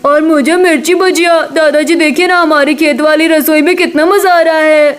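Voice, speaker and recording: Woman voicing a high-pitched cartoon villager. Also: steady tone, normal speed, natural voice. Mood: excited. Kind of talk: Hindi cartoon story voice acting.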